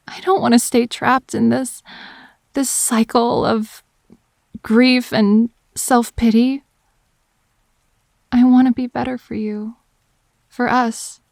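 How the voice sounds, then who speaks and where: Woman acting a remorseful girlfriend, slow and creaky. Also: fairly high pitch, a little mellow, dry recording, clean studio sound. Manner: halting, tender, confessional delivery. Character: grieving, apologetic girlfriend pleading to reconcile. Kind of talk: intimate dramatic audio roleplay.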